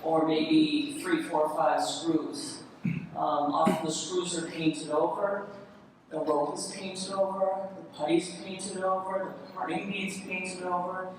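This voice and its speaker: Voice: medium pitch, flat delivery, slow. Distant, very echoey presenter in a hall. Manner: explanatory, defining each part as it is shown. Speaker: presenter, window restorer. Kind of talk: live hands-on demonstration talk to an audience. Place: large echoey room in a city hall. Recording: echoey hall, distant, noisy live event recording.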